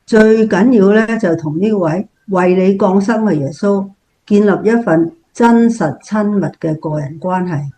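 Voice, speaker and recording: A woman with a Cantonese accent playing a gentle, devout older family member, speaking earnestly and with measure in a scripted radio drama. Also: medium pitch, a little slow, natural voice.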